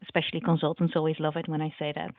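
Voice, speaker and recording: A woman with a lowish voice introduces herself on a video call panel. She talks in a friendly way with fillers, and her voice comes through a dry, tinny line that sounds like a phone. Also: monotone, very fast, no room sound. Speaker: panelist, change manager.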